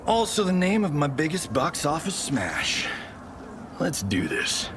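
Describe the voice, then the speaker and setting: A man's voice: on the high side, wildly swooping pitch, unhurried, noisy background. A man playing a cocky, wisecracking movie star turned fighter in a video game, glib and full of casual showbiz slang. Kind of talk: video game cutscene acting. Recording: music under the voice.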